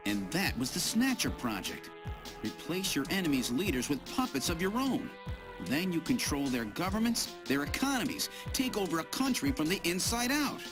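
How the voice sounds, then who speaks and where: A confused amnesiac agent in a video game drama, desperate to learn who he is. His pitch swings very widely, and music plays clearly behind him. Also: very animated, moderate pace. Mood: pondering. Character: bewildered amnesiac male special agent seeking his identity.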